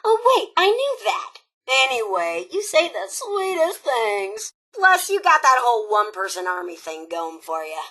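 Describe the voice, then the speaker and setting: Video game raider robot, female: bright, sharp, raspy voice, young-sounding, sarcastic, flippant and scheming. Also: on the high side, sing-song, a little slow, hardly any pauses, exaggerated voice. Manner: sassy, slangy, mock-sweet, flippant. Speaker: quest-giving game character. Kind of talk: video game character dialogue.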